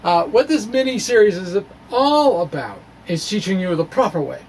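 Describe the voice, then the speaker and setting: A man's voice: high voice, very animated, moderate pace, slight background noise, rough recording. A middle-aged man hosting a science video blog, reading in a quirky, wry, dramatic way with casual asides. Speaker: host of a physics video blog. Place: small indoor room with bookshelves. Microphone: stand microphone just below the face.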